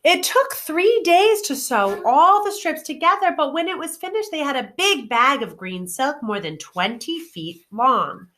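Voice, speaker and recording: A woman teacher reading a children's storybook aloud with expressive, clearly phrased narration. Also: high-pitched, unhurried, hardly any pauses.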